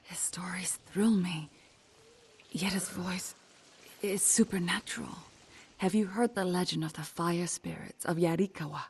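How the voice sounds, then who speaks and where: Haunted peasant, female, extremely husky and breathy, fearful, video game character. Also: lowish voice, a little slow, darkish tone, exaggerated voice. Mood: awed, anxious. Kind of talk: hushed game voice acting.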